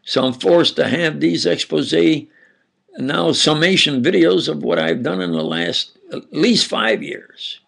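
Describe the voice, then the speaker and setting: An older man with an Upstate New York and New Jersey accent, giving a halting, insistent monologue lecture with sudden loud emphasis. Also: a little high, expressive, creaky. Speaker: self-styled preacher lecturing on camera.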